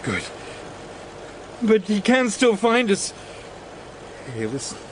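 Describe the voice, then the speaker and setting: A man's voice: high-pitched, big pitch swings, slow pace, exaggerated voice. A man acting a terrified, paranoid passenger in a radio drama, agitated and pleading. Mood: anxious, furtive. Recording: clear traffic and vehicle noise behind.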